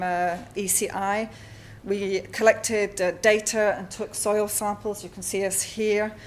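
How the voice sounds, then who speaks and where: A woman with a Spanish accent giving a conference talk over slides, halting often with fillers and self-corrections. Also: medium pitch, a little hiss or hum. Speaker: artist presenting at a symposium. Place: lecture hall.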